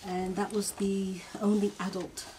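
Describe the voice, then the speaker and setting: A woman from Cameroon, a musician guest on a radio show, talking in a chatty, relaxed way and laughing easily. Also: medium pitch, measured pace. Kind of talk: casual radio interview. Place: radio studio. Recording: clear background noise.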